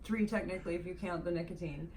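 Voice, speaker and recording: A woman's voice: lowish voice, steady tone, fast talker, a little mellow. A woman chatting casually, playful and jokey, laughing often and tossing in wordy asides. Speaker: podcast guest. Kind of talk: casual chat. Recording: echoey room, distant mic, faint noise.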